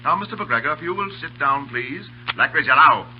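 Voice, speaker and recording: A man's voice: on the high side, expressive, fairly quick, faint music behind, lightly acted. An actor playing a courtly, mock-polite Indian khan who menaces his captives in old-time radio drama. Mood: sinister calm. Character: suave, menacing Indian khan who captures and tortures officers. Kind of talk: 1930s radio drama acting. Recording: dull, noisy old radio broadcast with hum.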